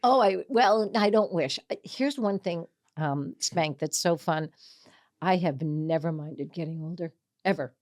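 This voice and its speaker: A woman in her seventies hosts a relaxed conversation, talking in a lower register. She is chatty and animated and often laughs while she tells stories. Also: a little slow. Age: 70s. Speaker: host, mental health coach. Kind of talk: casual interview chat.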